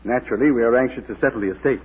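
A man's voice: medium pitch, racing, muffled recording, a little hiss or hum, natural voice. An actor playing a reserved, moralistic man of business with formal manners in an old radio drama. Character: formal, reserved, moralistic gentleman estate agent. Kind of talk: Golden Age radio drama acting.

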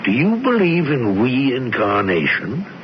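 An actor playing a dry-humored, folksy older American writer from Missouri, narrating and taking part in a radio drama. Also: male voice, mid-range voice, wildly swooping pitch, takes it slowly, slight background noise. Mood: wry. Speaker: narrator and lead character. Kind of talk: 1970s radio drama acting. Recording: dull lo-fi radio broadcast with music.

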